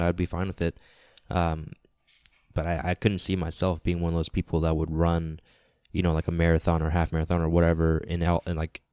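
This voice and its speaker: A man giving a casual, earnest podcast monologue, repeating phrases for emphasis, slightly raspy and nasal with congestion from a lingering cold. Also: sits low, a little mellow, dry recording, clean studio sound. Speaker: podcast host. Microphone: close podcast microphone.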